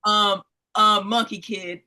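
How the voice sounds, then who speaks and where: A young woman hosting a casual interview, speaking haltingly with many fillers and repeated words. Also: mid-range voice, steady tone, pushed and bright. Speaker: interview host. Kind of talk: casual video-call interview.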